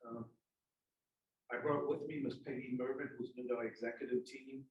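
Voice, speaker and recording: A man's voice: medium pitch, fairly even intonation, moderate pace, highs cut off. A man in his sixties from Charleston, South Carolina, with a New England-tinged Northern accent, speaks candidly as a corrections official at a public forum. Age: 60s. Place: indoor room at a public forum. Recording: echoey room, distant microphone.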